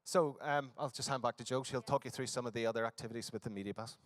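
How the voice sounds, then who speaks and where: A man's voice: medium pitch, steady tone, fast talker, dry recording. A man from Northern Ireland with a Northern Irish accent, giving a workshop presentation at a conference with frequent fillers and restarts. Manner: hesitant, many fillers and restarts. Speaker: conference speaker. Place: conference hall.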